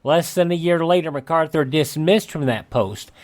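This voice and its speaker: A man's voice: a little high, moderate pace, a little mellow. A man hosting a radio show, reading a scripted rundown of historical dates.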